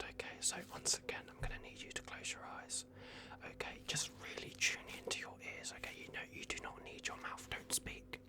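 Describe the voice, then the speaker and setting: A performer voicing a soft-spoken, bumbling vampire doctor in an ASMR roleplay, whispering hesitantly and repeating reassurances. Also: mid-range voice, natural voice. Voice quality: whispered, creaky. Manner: hushed, hesitant, repetitive, reassuring.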